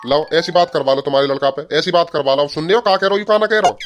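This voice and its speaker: A man as a rapid-fire, complaining village uncle. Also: a little high, music in the background, natural voice. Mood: aggrieved. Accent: western Uttar Pradesh. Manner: complaining, repetitive. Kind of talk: comedy cartoon dialogue.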